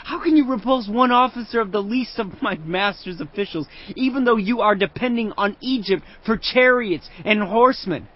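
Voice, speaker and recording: An actor playing an arrogant Assyrian field commander who taunts a besieged city with loud, mocking declamation. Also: male voice, sits high, moderate pace, hardly any pauses. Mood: taunting. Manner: boastful, mocking, declaiming loudly. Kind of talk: dramatized audio Bible acting. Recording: muffled lo-fi audio under music. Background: cinematic music.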